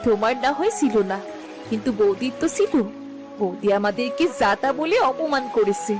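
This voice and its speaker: A proud, scolding village wife, played by a woman in a cartoon folk tale. Her voice is fairly high and swings animatedly, with clear music behind it. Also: expressive, moderate pace, lightly acted. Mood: resentful. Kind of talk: dramatic cartoon dialogue. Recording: music and rain under dialogue.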